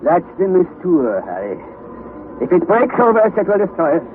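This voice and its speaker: An actor playing an impatient elderly professor leading an expedition, in a 1960s radio drama. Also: male voice, high-pitched, very animated, moderate pace. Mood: grave. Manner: urgent, shouting commands. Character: excitable elderly male professor explorer, impatient. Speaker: uncle professor. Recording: tinny old radio broadcast with hiss.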